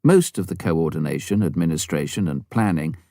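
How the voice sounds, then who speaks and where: A man narrating a memoir from a script as an audiobook, in measured, clearly phrased storytelling. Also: extremely low pitch, mellow, no echo, clean studio sound.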